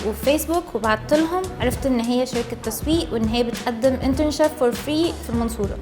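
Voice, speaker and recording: Young egyptian woman talking really fast, slightly high voice, music under it. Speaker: intern. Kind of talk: testimonial interview.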